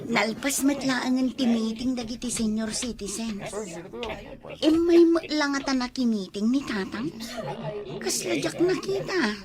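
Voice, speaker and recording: A woman's voice: high voice, measured pace, crowd noise. A woman acting a dutiful family member in a comedy drama, given to chiding. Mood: helpful.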